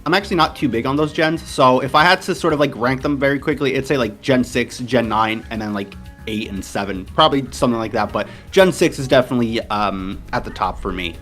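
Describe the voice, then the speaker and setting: A man in his twenties from Portugal with an American accent, chatting casually as an interview guest, relaxed and rambling with many fillers. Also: medium pitch, a little fast, faint music behind. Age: 20s. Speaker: interview guest, competitive game player.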